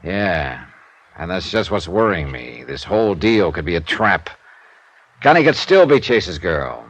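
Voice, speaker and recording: A man's voice: sits low, very animated, unhurried, a bit bright, exaggerated voice. An American man playing a hard-boiled, wry investigator in a vintage radio drama, with a clipped, dry way of talking. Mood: wary, businesslike. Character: hard-boiled, wry American male insurance investigator narrating his case. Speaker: lead actor and narrator. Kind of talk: old-time radio detective acting. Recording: noisy vintage radio recording.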